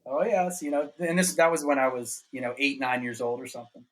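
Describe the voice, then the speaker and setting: A man's voice: mid-range voice, level tone, a little fast. Laid-back middle-aged man telling stories. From North Carolina. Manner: laid-back, rambling, laughs often. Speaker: podcast guest, former pro snowboarder. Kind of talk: casual storytelling.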